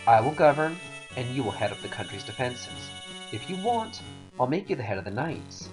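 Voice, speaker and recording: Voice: medium pitch, big pitch swings, normal speed, lightly acted, rough recording. A performer voicing a proud, indignant, commanding young male knight and noble heir in a fantasy game. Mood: persuasive. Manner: imperious, indignant. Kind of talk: voiced narration of game cutscene dialogue. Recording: game music behind voice. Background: music.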